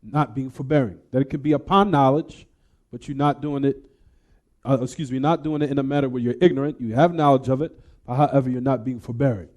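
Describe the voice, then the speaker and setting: A man's voice: mid-range voice, fast, a little mellow. A man giving a religious lecture, explaining a text in a didactic way and posing rhetorical questions to his listeners.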